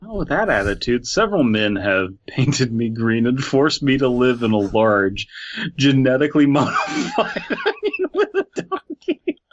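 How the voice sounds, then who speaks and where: A man's voice: mid-range voice, wildly swooping pitch, measured pace. A man co-hosting a comedy podcast, tossing in playful jokes. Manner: playful, joking interjections. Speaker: podcast co-host. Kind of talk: casual comedic podcast chat. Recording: dull, lo-fi mic.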